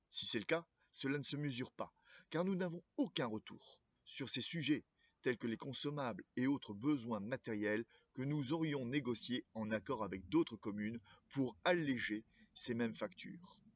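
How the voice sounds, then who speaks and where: A man speaking as a local political candidate, reading a scripted address in a formal, measured way and occasionally stumbling over words. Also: mid-range voice, no echo, highs cut off.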